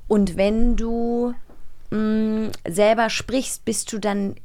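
A woman's voice: a little high, flat delivery, extremely slow, warm tone. A woman hosting a casual interview, asking questions in an eager, inquisitive way. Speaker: interviewer, podcast host.

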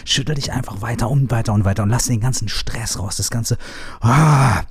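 A man leading a guided shaking meditation, giving repetitive instructions in a soft, partly whispered voice, with some casual swearing. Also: medium pitch, fast talker, slightly rough, no echo, studio quality. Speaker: movement exercise guide.

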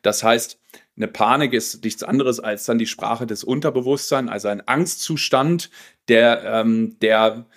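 A man in his forties with a loud, commanding voice, telling his own story as an interview guest in a reflective, halting way. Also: mid-range voice. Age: 40s. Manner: reflective, halting with frequent pauses and repeated words. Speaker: podcast guest, personal development coach.

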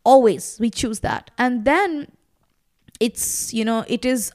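A woman's voice: on the high side, expressive, slow, darkish tone. A woman in her thirties whose first language is Bangla, talking in a reflective, passionate way with many fillers as a podcast guest. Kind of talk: casual conversation.

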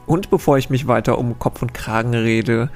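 A man's voice: mid-range voice, moderate pace, darkish tone, faint music behind. A man hosting a casual quiz podcast, reading out riddles and wandering into chatty, self-correcting asides.

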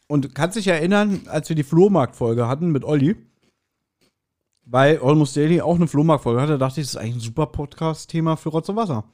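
Man, slightly high-pitched, casual podcast banter, teasing, conversational. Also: animated, brisk, darkish tone. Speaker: podcast co-host.

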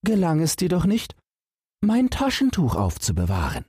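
Guy doing a snooty possessed young lady in a fairy tale, bossy n courtly, pitched way up, husky. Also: huge pitch swings, moderate pace, a little mellow, dry recording, clean studio sound, full character voice. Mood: scornful, reproachful. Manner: commanding, aloof, archaic courtly phrasing. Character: haughty young noblewoman possessed by evil spirits.

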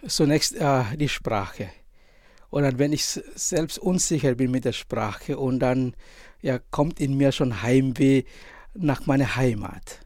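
A man in his forties from Indonesia, a priest and author, answering interview questions in German with an Indonesian accent, pausing often and searching for words. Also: medium pitch, a little mellow, no room sound, studio quality. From Indonesia, island of Sumba. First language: Indonesian language. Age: 40s. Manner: halting, many pauses and fillers, searching for words.

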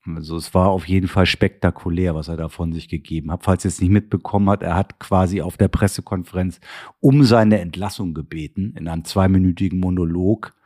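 A man's voice: deep voice, fairly even intonation, a little fast, a little mellow. A man hosting a podcast, casual and opinionated in conversation. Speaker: podcast host.

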